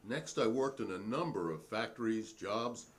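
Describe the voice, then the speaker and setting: Lively, defiant witness played by a man. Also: fairly low pitch, normal speed, lightly acted. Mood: matter-of-fact, proud. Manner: formal, pointed, unyielding. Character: defiant, proudly principled male hearing witness, former union organizer. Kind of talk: dramatic reading of a hearing transcript.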